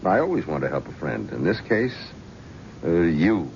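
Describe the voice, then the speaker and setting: An actor playing a middle-aged devoted friend, gentle, wry and rueful. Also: male voice, low voice, big pitch swings, normal speed, gravelly, a little hiss or hum, full character voice. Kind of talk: 1970s radio drama acting. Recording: dull vintage radio broadcast.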